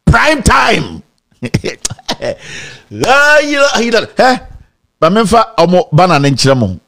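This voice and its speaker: A man's voice: sits high, measured pace, creaky. A young Ghanaian man with a Ghanaian accent, hosting a mocking commentary show and mixing English with Twi. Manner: animated, sarcastic, breaks into laughter and sing-song mockery. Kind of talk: animated commentary. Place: indoor studio set.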